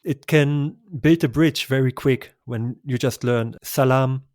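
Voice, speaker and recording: A man's voice: mid-range voice, unhurried, a little mellow, no echo. Enthusiastic male travel guest, dry sound. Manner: enthusiastic, effusive recommendations with small laughs. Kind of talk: conversational travel interview.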